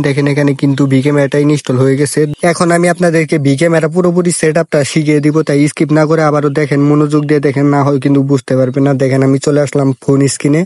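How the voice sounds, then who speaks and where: A man's voice: a little high, darkish tone, dry recording, clean studio sound. A man with a Bangladeshi accent narrating an informal phone app tutorial, instructing step by step in a repetitive way. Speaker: tutorial host.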